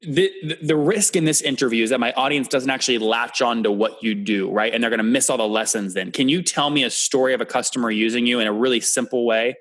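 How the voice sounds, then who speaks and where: Fast-talking male interview host. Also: medium pitch, a bit bright. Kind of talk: brisk interview questioning.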